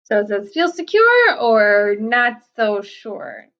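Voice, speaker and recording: Slow, gentle woman reading to kids. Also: a little high, sing-song, dull audio. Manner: slow, gentle, explaining to children. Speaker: children's book reader. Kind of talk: picture book read-aloud.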